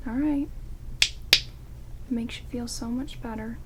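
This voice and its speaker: A woman voicing a haughty but friendly female vampire in a soft, breathy ASMR whisper, slow and drily teasing, close to binaural microphones with rain hiss behind. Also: on the high side, steady tone. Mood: dry, fussing.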